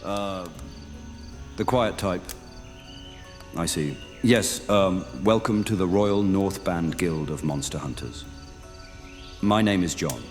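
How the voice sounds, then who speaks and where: A man acts out a monster-hunting knight with a deep voice, welcoming and earnest. His speech is formal and old-fashioned, as in a fantasy radio play. It rings in a long reverb over a music bed. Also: slow, pauses often. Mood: hesitant, welcoming. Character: welcoming, earnest male knight monster hunter, guild guide. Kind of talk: immersive fantasy roleplay audio drama acting.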